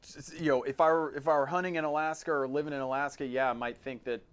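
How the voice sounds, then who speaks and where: A man's voice: mid-range voice, steady tone, very fast, clean tone. A man co-hosting a podcast with casual banter, often repeating words as he gets phrases started. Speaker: podcast co-host.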